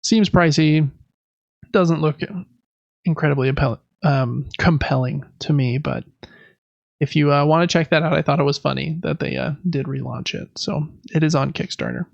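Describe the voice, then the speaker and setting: A man's voice: fairly high pitch, normal speed, creaky, dry recording, dull audio. A man hosting a gaming podcast, running through news in a casual, conversational and informal way. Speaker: podcast host.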